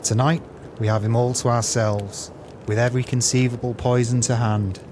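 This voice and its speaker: An actor playing a gleeful, crooked swindler with a sly, florid, falsely friendly manner in an audio play. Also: male voice, medium pitch, flat delivery, moderate pace, background noise, natural voice. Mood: sly scheming.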